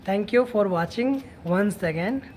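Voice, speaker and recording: Slow, halting young woman from Bihar. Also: medium pitch, animated, darkish tone, a little hiss or hum. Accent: Indian English, Bihar. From Bihar, India. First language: Hindi. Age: young adult. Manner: halting, frequent fillers and restarts. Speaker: student practicing spoken English. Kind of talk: unscripted practice monologue.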